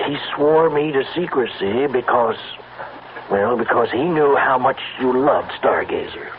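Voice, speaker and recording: An actor playing a grave, paternal country judge, measured and admonishing, in an old-time radio drama. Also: male voice, medium pitch, expressive, crowd noise, close to an everyday voice. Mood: reasoning, pressing. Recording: tinny, noisy old radio recording.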